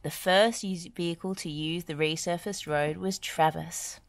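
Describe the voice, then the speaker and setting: A woman reading a children's storybook aloud in an expressive, deliberate way. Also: on the low side, a little hiss or hum. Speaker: audiobook reader.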